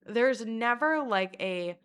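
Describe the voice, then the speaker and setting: A woman telling a rambling, profane story in a casual vlog, mocking herself and breaking into loud laughter. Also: a little high, slow pace, clear, resonant tone. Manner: self-mocking and animated, bursts of loud laughter. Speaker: vlogger.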